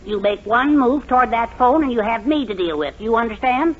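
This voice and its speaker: A man's voice: very high voice, lively intonation, a little fast. An actor playing a mild, wry, henpecked middle-aged husband soothing his family in a 1940s radio sitcom. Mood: mild, coaxing. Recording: dull, noisy 1940s radio with audience.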